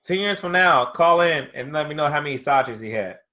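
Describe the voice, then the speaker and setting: A man's voice: fairly high pitch, animated, fairly quick, very noisy. A man co-hosting a podcast, teasing and joking in casual chat. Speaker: podcast co-host.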